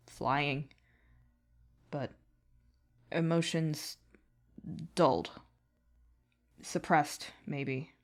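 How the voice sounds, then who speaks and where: A woman voicing a weary, bitter male alien troll who speaks in halting, terse fragments. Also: very deep voice, voice pushed down, full character voice.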